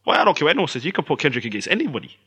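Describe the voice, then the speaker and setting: A man's voice: fairly high pitch, breathy edge. A young man from Somaliland co-hosting a podcast, arguing and teasing his friends in a casual chat. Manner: animated, teasing, quick talk. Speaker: podcast co-host.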